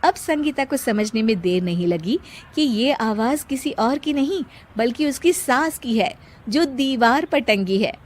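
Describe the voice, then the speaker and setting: A woman with a fairly high-pitched voice narrates a cartoon moral tale. She delivers it as a scripted storyteller, telling a domestic tale for viewers. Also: animated, measured pace.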